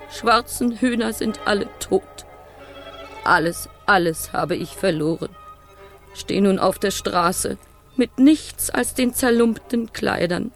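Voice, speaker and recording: An actress playing a destitute hen keeper in a children's radio play, mournful and plain in her lament. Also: a little high. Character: destitute female hen keeper mourning all she lost.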